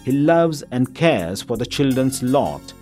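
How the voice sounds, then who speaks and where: A man reading a scripted documentary narration in a measured way. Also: mid-range voice, sing-song, a little mellow. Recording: voice-over over background music. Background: music.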